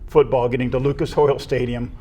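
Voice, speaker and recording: Man, middle-aged, American accent, earnest and appreciative, conversational interview guest, mid-range pitch. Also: little pitch movement, a little fast, warm tone. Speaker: retiring assistant athletic director, interview guest.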